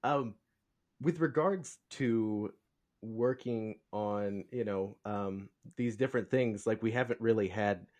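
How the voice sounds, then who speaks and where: A man hosting a casual podcast interview, talking in a halting, rambling way as he feels for words. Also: on the low side, darkish tone. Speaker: podcast host.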